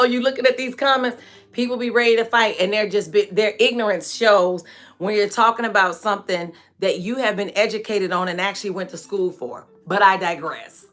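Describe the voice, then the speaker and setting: A woman in her forties hosting a live hair care stream, chatting frankly with viewers and laughing often, her voice a bit hoarse and dry. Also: medium pitch, pushed and bright. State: hoarse and dry voice from staying up late. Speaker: natural hair care host and product maker. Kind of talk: live-stream chat answering viewer comments.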